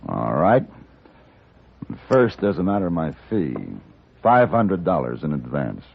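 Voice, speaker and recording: An actor playing a cool, unflappable hired gunman in an old radio western, deliberate and commanding. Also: deep voice, very animated, vocal fry, exaggerated voice. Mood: coolly sardonic. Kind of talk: 1950s radio western acting. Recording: dull old radio recording with music.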